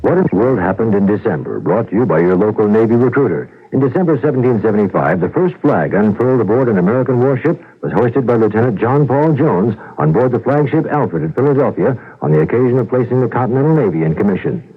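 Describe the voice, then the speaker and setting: A man's voice: medium pitch, expressive, fast, hardly any pauses, breathy edge, phone-quality sound. A man reading a scripted, factual radio announcement in the formal style of an old broadcast spot.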